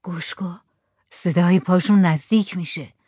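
A woman's voice: on the high side, animated, no echo, studio quality, voice pushed up, lightly acted. A woman reading the lines of a poor, resigned village drummer.

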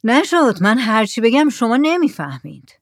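A woman's voice: a little high, very animated, no room sound, clean studio sound, voice pushed up. A woman reading the lines of a demanding, dismissive male mullah in a story. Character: pushy bargaining male mullah.

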